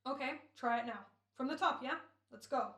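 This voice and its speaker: A woman playing a brisk, fussy male radio director in an old-time drama, bossy and thinking aloud. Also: high-pitched, a bit bright. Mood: businesslike, fussy. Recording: echoing room, home recording.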